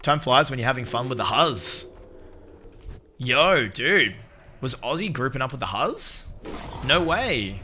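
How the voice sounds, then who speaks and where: A man live-streaming a game and chatting to viewers in an excitable, swearing way, recovering from a lost voice. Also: medium pitch, unhurried, pauses often, slight background noise. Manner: excitable, swears, shouts out chat members. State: recovering from losing his voice. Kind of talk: casual gaming stream chat.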